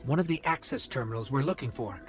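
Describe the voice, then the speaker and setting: A man's voice: mid-range voice, lively intonation, fast talker, music in the background, close to an everyday voice. An actor playing a talkative male companion guide who gives running, reassuring comments. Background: loud game score.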